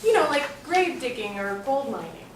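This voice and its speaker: A woman's voice: a little high, sing-song, normal speed. An actress in a staged play reading playing a dippy but well-meaning woman in her late twenties, breezy, guileless and flirtatious. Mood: cheerfully defensive. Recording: echoey stage, distant mic, noisy room.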